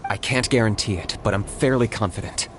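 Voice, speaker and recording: A man voicing a cool, pragmatic young male hunter who talks calmly and gives clipped orders in a video game. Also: on the low side, brisk, a little hiss or hum, lightly acted. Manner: calm and clipped, commanding in battle.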